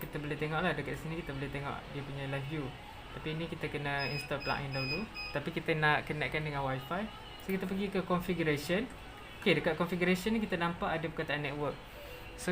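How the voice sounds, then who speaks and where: A presenter with a Malaysian accent narrating a step-by-step software tutorial, mixing in English technical terms. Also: medium pitch, quick pace, background noise.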